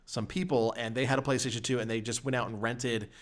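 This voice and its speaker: Mid-pitched man reminiscing on a podcast. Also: steady tone, fast. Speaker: podcast panelist. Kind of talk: casual podcast chat, reminiscing.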